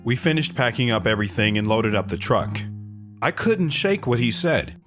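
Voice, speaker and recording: A man's voice: medium pitch, very animated, moderate pace, fairly bright tone, faint music behind. A man playing an earnest, lovestruck lone wanderer recounting his life in a reflective, sentimental audio drama style. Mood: wistful, reflective. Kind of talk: dramatic first-person audio drama narration.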